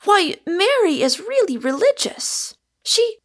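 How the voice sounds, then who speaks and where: A woman voicing an excitable, easily startled young woman. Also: high voice, measured pace, voice pushed up.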